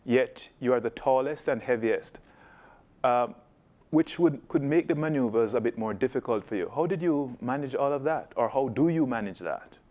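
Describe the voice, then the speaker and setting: A man's voice: medium pitch, animated, moderate pace, a little mellow. Man hosting a sports interview. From Jamaica. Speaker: sports show host.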